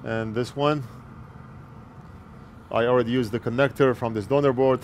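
A man's voice: mid-range voice, steady tone, normal speed, slight background noise. A man in his forties walking through an electronics repair step by step as he works at his bench, speaking plainly into a headset mic. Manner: plain, explanatory, talks while working. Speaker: repair technician. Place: electronics repair workbench indoors. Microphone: wired earpiece headset mic.

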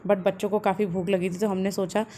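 A woman, calmly narrating a family travel vlog as a voice-over. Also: mid-range voice, brisk, slight background noise. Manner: calm, explanatory, steady. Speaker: travel vlogger.

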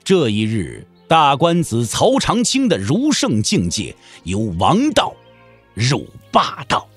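A man delivering dramatic, expressive scripted narration for a wuxia audio drama. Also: mid-range voice, takes it slowly, slightly rough, faint music behind. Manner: expressive storytelling.

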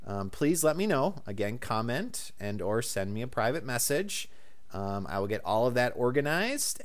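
A man's voice: mid-range voice, clean tone. A man giving a casual, polite audio update to viewers as the host of a gaming channel. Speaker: video game channel host.

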